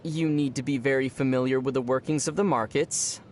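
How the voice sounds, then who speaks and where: A man playing a young traveler hero in a video game, calm and measured, with a wry, teasing manner. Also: mid-range voice, slight background noise, natural voice. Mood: calm, thoughtful. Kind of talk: English video game voice acting. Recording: music behind the voice. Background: music.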